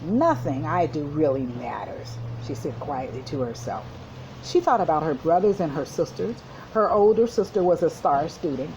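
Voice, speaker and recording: A woman's voice: low voice, lively intonation, moderate pace, darkish tone, a little hiss or hum, poor audio. A woman school counselor reading a storybook to children and explaining it in an instructive way. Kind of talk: children's storybook read-aloud with commentary.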